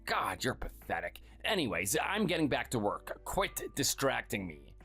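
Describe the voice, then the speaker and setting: A performer voicing a cold, contemptuous workaholic husband who talks curtly and dismissively. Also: male voice, mid-range voice, big pitch swings, moderate pace, bright, forceful tone, slightly rough, faint music behind, full character voice. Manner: curt, scornful, dismissive.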